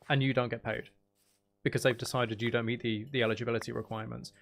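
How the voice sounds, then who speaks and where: A soft-spoken man chatting casually on a livestream as an ASMR creator, explaining things in a candid, aggrieved way. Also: medium pitch. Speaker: ASMR content creator and streamer. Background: music in the background.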